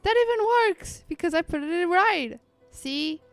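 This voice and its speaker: A woman's voice: very high-pitched, expressive, measured pace, no echo, soft background music. A woman game streamer giving casual, playful live commentary with somewhat hesitant phrasing.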